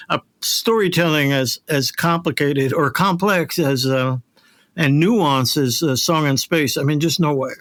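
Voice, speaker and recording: A middle-aged man hosting a podcast conversationally, halting and emphatic, often repeating phrases to drive them home. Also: a little high, a bit bright, no echo, studio quality. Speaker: podcast host.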